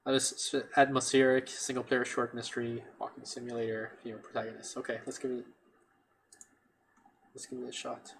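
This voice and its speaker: A man's voice: medium pitch, level tone, a little fast, gravelly, a little hiss or hum. Chatty man thinking aloud over a game. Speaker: gameplay streamer. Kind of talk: casual let's play commentary. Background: game music.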